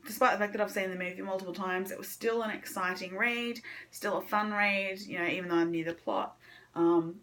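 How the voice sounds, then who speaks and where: A woman's voice: medium pitch, a bit bright. Woman, casual book vlogger, enthusiastic, hesitant phrasing, loose rambling delivery, conversational. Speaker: book reviewer on own video channel. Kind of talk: casual chat to camera, monthly reading wrap-up.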